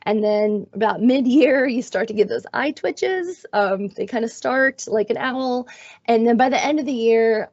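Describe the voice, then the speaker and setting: A middle-aged woman with a raspy, hoarse voice from being sick, presenting in a friendly, self-deprecating way on a video call. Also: a little high, a little mellow, no echo. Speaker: guest presenter, magnet schools coordinator. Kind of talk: webinar presentation. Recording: dull, dry video-call audio.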